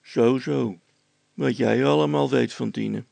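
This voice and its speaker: A man playing a bold, gruff man in a story, scolding a boy in a blunt, dismissive, colloquial way. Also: medium pitch, slow, darkish tone, dry recording. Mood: wary, probing.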